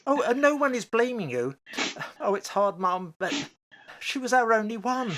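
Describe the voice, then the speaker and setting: A woman playing an anguished elderly parent who tries to reason through grief, pleading and halting in an amateur radio play. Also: a little high, lively intonation, fairly bright tone. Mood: grieving.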